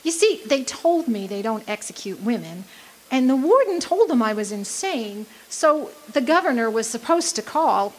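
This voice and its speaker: A woman's voice: fairly high pitch, very animated, normal speed, rough recording. A woman acting in a radio drama as a feisty, bewildered gold-rush singer, with a theatrical, plaintive manner. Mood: dawning dread. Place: live performance at a community venue.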